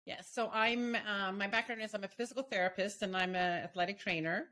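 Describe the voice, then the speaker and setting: A middle-aged woman from Sweden, a physical therapy professor, answering interview questions in a measured, explanatory way. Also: medium pitch, steady tone, pushed and bright.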